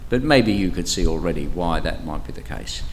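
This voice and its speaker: An older man with an Australian accent speaks at a church camp. His low, powerful voice drifts on and off the microphone, and he talks in a chatty, classroom-teacher way with frequent hesitations. A faint background hum runs under his speech. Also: expressive, a little fast, rough recording. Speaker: archbishop leading a Bible study. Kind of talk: interactive Bible study talk. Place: church camp meeting room. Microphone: microphone, sometimes moves away from it.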